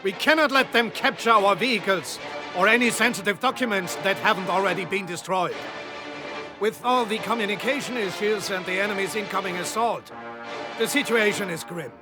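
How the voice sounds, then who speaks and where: An actor playing a German army officer, giving commanding mission briefings in a military video game. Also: male voice, high voice, a little fast, music in the background, natural voice. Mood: grave, commanding. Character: urgent German Afrika Korps officer briefing troops.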